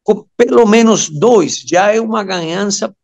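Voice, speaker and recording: A Venezuelan man with a Spanish accent giving interview answers, haltingly searching for words in his second language. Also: sits high, gravelly, darkish tone, lo-fi sound. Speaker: interview guest, amateur radio operator.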